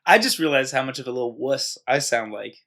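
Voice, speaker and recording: A man's voice: mid-range voice, lively intonation, brisk, pushed and bright. A man co-hosting a casual podcast, talking in a playful, rambling way. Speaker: podcast co-host. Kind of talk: casual chat.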